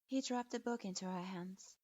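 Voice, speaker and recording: A woman reading a fan fiction story aloud in an even storytelling manner. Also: medium pitch, normal speed, no room sound. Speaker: story reader. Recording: dull lo-fi.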